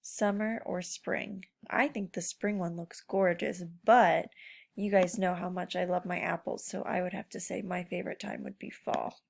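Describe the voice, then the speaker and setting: A woman's voice: lowish voice, fairly even intonation, moderate pace, vocal fry. A woman teacher giving an informal read-aloud lesson to children, prompting them with guiding questions. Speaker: schoolteacher.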